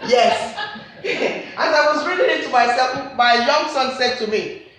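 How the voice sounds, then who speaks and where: A woman's voice: fairly high pitch, expressive, slow, fairly bright tone, a little hiss or hum. A woman with a Nigerian accent preaching a sermon in a church, repeating her key points with earnest emphasis. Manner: earnest, repetitive emphatic phrasing. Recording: very echoey church hall.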